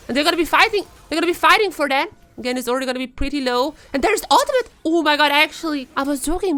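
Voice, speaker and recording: Female esports caster, high voice, excitable and chatty, live watch-party commentary. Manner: excitable, chatty, rising into shouts in action.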